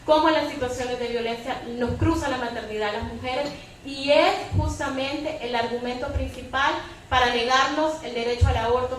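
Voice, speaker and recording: High-pitched woman debating in a very echoey room. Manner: repeats a questioning tag to seek agreement. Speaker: debate panelist. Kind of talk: opinionated public debate commentary. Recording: very echoey room, distant, faint noise.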